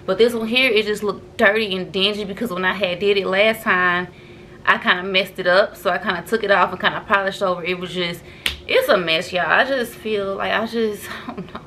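A woman's voice: mid-range voice, a little fast, bright, forceful tone, a little hiss or hum. A woman, chatting to camera at home in a loose, rambling vlog style and calling viewers "y'all". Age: 30s. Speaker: vlogger. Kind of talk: casual chat to camera. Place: bedroom at home, indoors.

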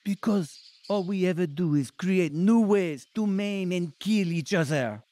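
An actor playing a blunt, bitter, war-weary French soldier in a radio drama. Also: male voice, high voice, measured pace, warm tone. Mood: bleak, cynical.

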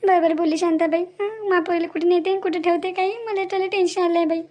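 A woman's voice: very high voice, fairly even intonation, fast, mellow. A woman voicing a worried, folksy village woman in a cartoon family drama, with a Varhadi Marathi accent and a plaintive manner.

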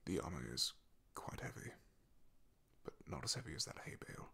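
A man plays a loyal, bashful knight in a fantasy ASMR roleplay. His voice is very low and heavily gravelled, with a very breathy, husky grain. He speaks softly and haltingly, awkward but devoted. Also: very animated, full character voice. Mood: wistful, reflective. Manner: hesitant, halting, soft-spoken. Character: loyal young male knight, shy, awkward, devoted, soft-spoken.

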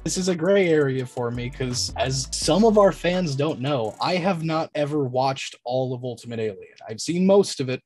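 A man's voice: medium pitch, expressive, normal speed, hardly any pauses, a little mellow. A young man co-hosting a casual show indoors, giving blunt opinions in an informal way. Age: young adult. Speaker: co-host of a cartoon ranking show. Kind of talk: casual chat. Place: small room indoors. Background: background music.

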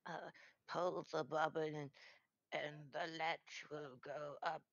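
A man voicing a frail, kindly, sick old grandmother in a storybook, calling out slowly and hesitantly. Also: a little high, level tone, gravelly, fairly bright tone, no echo, pitched above the performer's own voice, full character voice. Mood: feeble. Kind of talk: storybook character voice. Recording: dry, dull lo-fi recording.

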